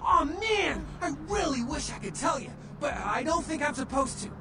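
An actor voicing a goofy, gushing young vampire's servant in a video game, eager and boastful with slangy exclamations. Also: male voice, extremely high pitch, very animated, normal speed, far from the mic, a crowd in the background, heavily acted. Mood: giddy, gushing. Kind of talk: video game character dialogue. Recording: game audio with music behind.

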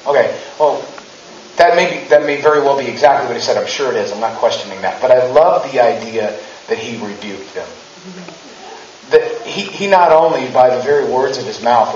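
A man's voice: medium pitch, a little hiss or hum. A man in his thirties giving a casual, humorous sermon in a church sanctuary, acting out quotes with rising emphasis. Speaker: preacher. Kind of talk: conversational sermon. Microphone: handheld mic, far camera. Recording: roomy, distant, dull church recording.

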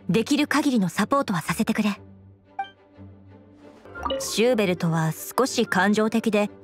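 An actress playing a dutiful young woman knight commander in a video game, speaking in a measured, formal, diplomatic way. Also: medium pitch, natural voice. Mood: calm, courteous. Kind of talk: anime video game dialogue.

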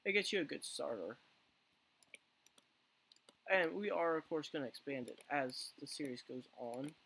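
A man with a hoarse voice he says he is losing, giving rambling casual let's play commentary full of fillers and restarts. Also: fairly high pitch, animated, a little slow, fairly bright tone, poor audio. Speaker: gaming channel host.

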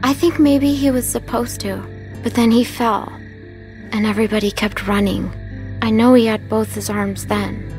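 A woman's voice: on the high side, steady tone, moderate pace, darkish tone, natural voice. A woman playing an earnest, cautious young girl survivor in a video game. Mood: subdued, earnest. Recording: music playing behind.

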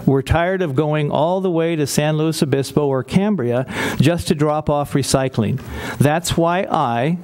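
Older guy on city council reading a letter into a desk mic, slightly high voice, chuckly little asides. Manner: warm, amused asides between read lines. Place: council chamber dais. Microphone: desk gooseneck mic.

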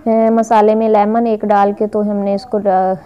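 A woman's voice: mid-range voice, flat delivery, measured pace, warm tone, clear, resonant tone, dull audio. A woman hosting her own cooking vlog, narrating a recipe step by step in a chatty, repetitive way with fillers, recorded on a phone in a home kitchen. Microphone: phone camera filming the pot.

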